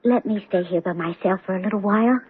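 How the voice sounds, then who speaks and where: An actress playing a serene, eerie, loving young woman in a radio drama, with a gentle, matter-of-fact manner. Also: medium pitch, fast, slightly rough, lightly acted. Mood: serene, coaxing. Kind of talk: 1970s radio drama acting. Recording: muffled vintage radio broadcast, narrow band.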